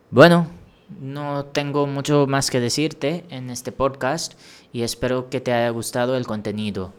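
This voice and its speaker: A man from India hosting a language-learning podcast, giving advice in a measured, encouraging solo talk with a few small restarts. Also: medium pitch, clear, resonant tone.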